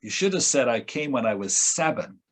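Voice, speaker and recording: Male discussion host, medium pitch, jocular and informal, halting as he questions guests. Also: big pitch swings, a bit bright, husky. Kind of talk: casual chat, interviewing guests.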